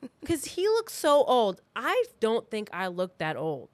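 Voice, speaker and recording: A woman's voice: a little high, huge pitch swings, unhurried, dry recording. A woman in her thirties hosting a podcast, telling a true crime story in a casual, loosely worded conversational way. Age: 30s. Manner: informal, loosely worded, acts out reported remarks.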